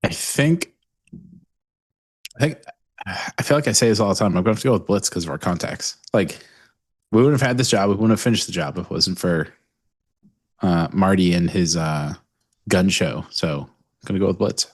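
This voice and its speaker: A man's voice: low-pitched, moderate pace, long pauses, breathy edge, dry recording. A man playing in a tabletop game, chatting in a playful, laughing way. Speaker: player. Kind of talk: casual chat between players.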